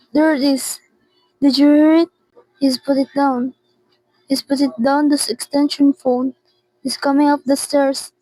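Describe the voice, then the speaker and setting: A teenage girl acting in a radio drama as a frantic, demanding invalid woman alone at night, anxious and repeating herself haltingly. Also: sits high, dark tone, dry recording, poor audio. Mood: panicked, pleading. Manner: anxious, imperious, halting repetitions.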